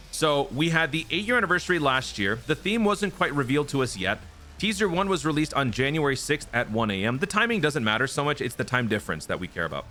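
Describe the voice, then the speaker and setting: Gaming channel host, male, bright tone, talky and explanatory, soft music bed. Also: medium pitch. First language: not Japanese. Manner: chatty, explanatory, frequent "right?" tags. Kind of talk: casual commentary and speculation.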